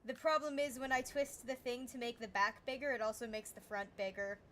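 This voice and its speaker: A woman streaming a game, chatting casually with an energetic, naturally loud manner. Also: fairly high pitch, a little fast. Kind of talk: casual gaming stream chat. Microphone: desk mic, peaking.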